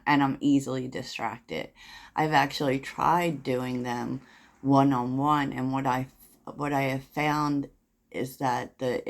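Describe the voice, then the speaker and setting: Woman, soft voice, low-pitched, unhurried, chatty tarot reader, rambling with fillers. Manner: soft-spoken, rambling, giggly, many fillers; chatty, laughs at her own jokes. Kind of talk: casual tarot reading to camera.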